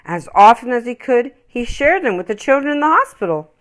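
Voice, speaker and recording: A woman reading a children's story aloud with expressive, emphatic, dramatic phrasing. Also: a little high, a little fast.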